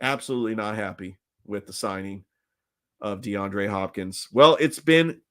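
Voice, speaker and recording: A man's voice: on the low side, expressive, unhurried, a bit bright. A man hosting a solo sports talk podcast, opinionated and ranting, his voice strained and nearly gone.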